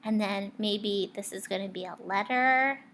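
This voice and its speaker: Slow-paced woman reading aloud to children. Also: medium pitch. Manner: expressive, questioning, addresses young listeners directly. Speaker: teacher reading a picture book aloud. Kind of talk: read-aloud storytelling with asides to children.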